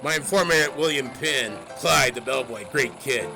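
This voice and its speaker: Brief: a man as a gruff gravelly editor, clipped orders, music bed. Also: mid-range voice, normal speed, natural voice. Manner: blunt and bossy. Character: gruff blustery male newspaper editor.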